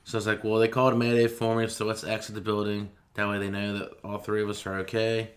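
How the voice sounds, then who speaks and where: A man's voice: lowish voice, monotone, fast. A man speaking as a firefighter in an interview, recounting his own experience in a plain, understated way.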